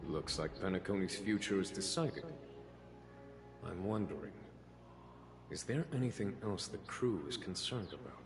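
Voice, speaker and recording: Gentlemanly game character, low pitch, formal, polished, reassuring, music clearly behind. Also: male voice, normal speed, pauses often. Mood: gracious. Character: courteous, dignified gentleman diplomat. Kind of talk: video game character acting.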